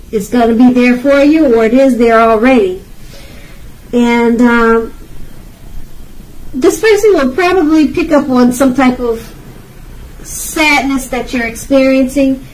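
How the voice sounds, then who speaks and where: A woman's voice: on the high side, darkish tone. A woman giving an unscripted tarot reading, speaking to the viewer in a reassuring, advising way with hesitations and repeated phrases. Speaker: tarot card reader. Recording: voice distant from the mic.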